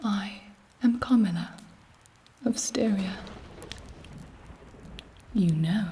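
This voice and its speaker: A woman voicing a haughty, seductive vampire queen in an ASMR roleplay, speaking softly with an aristocratic, condescending manner. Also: medium pitch, natural voice. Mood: coolly imperious. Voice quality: soft breathy whisper.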